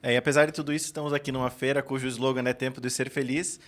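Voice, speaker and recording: A man's voice: medium pitch, little pitch movement, rapid-fire, a bit bright. A man from Salvador das Missões, interviewing at a fair in a friendly, hesitant way and often repeating words. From Salvador das Missões, southern Brazil.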